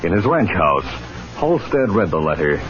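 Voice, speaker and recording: A man's voice: low voice, very animated, moderate pace. A male announcer delivering theatrical, declamatory narration in the dramatic style of 1940s and 50s radio. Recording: dull vintage radio recording. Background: music.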